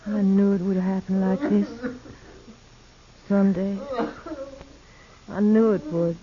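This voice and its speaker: A woman's voice: mid-range voice, level tone, takes it slowly, a crowd in the background, natural voice. An actress with an American accent playing a careworn, resigned young frontier woman in a 1950s radio western, plain and subdued. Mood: resigned grief. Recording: dull 1950s radio recording.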